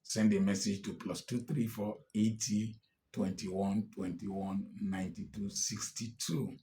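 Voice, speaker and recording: A man's voice: on the low side, flat delivery, takes it slowly, warm tone. A man in his sixties with a Nigerian accent, giving an impassioned political address, emphatic and defiant. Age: 60s. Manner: emphatic and defiant, rising to angry outbursts. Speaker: commentator.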